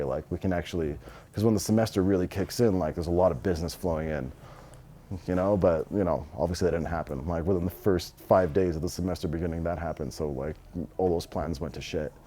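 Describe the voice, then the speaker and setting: Male, 20s, American, very low mellow voice, blunt and slangy interviewee. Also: fast talker. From Los Angeles, United States. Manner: blunt, slangy, swearing freely. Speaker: interviewee, business owner. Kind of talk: casual interview answers.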